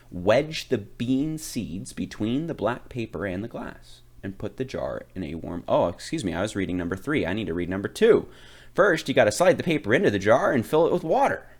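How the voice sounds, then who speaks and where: A man reading a children's picture book aloud, phrasing it deliberately and with expressive emphasis. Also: mid-range voice. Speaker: read-aloud reader.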